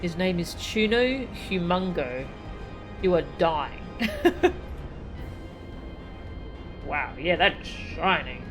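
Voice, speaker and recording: A woman streams a video game and chats casually while she reads the game text aloud. Her voice is a little low, and she laughs easily. Game music runs clearly behind her. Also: very animated, extremely slow, pauses often. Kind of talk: casual gameplay commentary.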